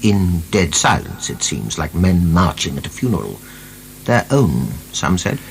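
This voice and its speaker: An actor in a radio drama playing an urbane, unflappable royalist official with a dry, wry, reasoning manner. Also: male voice, sits low, sing-song, measured pace, gravelly, heavily acted, rough recording. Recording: hissy archived radio drama.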